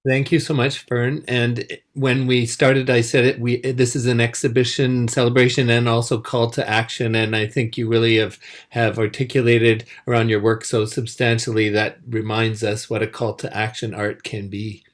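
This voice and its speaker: A man's voice: medium pitch, level tone, normal speed. A man hosts an online panel over a video call in a gracious, appreciative way. His voice sits in the middle of the male range. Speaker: moderator.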